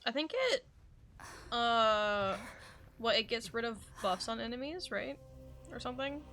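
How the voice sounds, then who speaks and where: Bright, creaky-voiced woman streaming a game. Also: on the high side, a little slow, faint music behind. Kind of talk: casual gameplay commentary.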